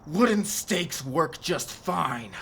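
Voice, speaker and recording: An actor playing a brave, guarded vampire slayer in an audio roleplay, terse and defiant. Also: male voice, fairly high pitch, lively intonation, slow, creaky, heavy background noise, full character voice. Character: brave, gruff mysterious Spanish male vampire slayer. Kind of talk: cinematic audio roleplay acting.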